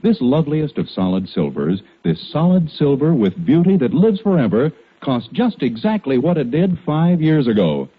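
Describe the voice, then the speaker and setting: A male announcer from 1940s radio delivers polished, emphatic advertising copy. His pitch moves around a lot, and the sound is thin and narrow like an old broadcast, with a slightly husky edge. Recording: tinny, band-limited 1940s radio broadcast.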